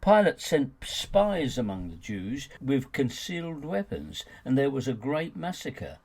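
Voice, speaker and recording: A man reading his own argumentative nonfiction audiobook as scripted narration, with an emphatic, rhetorical delivery and a gravelly, husky voice. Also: medium pitch, very animated, unhurried, a little mellow.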